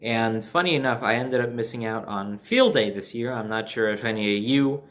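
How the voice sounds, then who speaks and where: A man's voice: medium pitch, moderate pace. A man from New Jersey with an American accent tells a personal story casually, conversationally, with sardonic asides. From New Jersey, USA.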